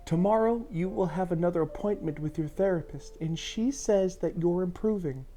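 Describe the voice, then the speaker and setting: An anxious, protective storybook mother, acted by a male narrator, speaks in a raised, high pitch with fierce love. Faint music plays underneath her words. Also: normal speed, dark tone, lightly acted. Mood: tender.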